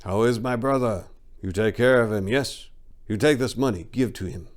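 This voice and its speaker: A man's voice: on the low side, normal speed, close to an everyday voice. A man voicing a commanding, generous mafia boss who speaks in clipped, broken English in a memoir reading. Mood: curt welcome.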